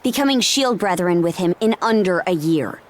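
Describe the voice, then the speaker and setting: A woman's voice: on the low side, expressive, moderate pace, slight background noise, close to an everyday voice. A woman acting a bold, blunt young warrior princess in a video game cutscene. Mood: firm, explaining.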